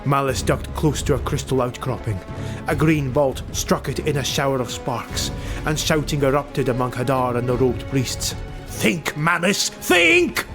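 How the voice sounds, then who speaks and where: A man's voice: medium pitch, fairly even intonation, moderate pace. A man narrating a fantasy audiobook in a dramatic, scripted style. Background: music.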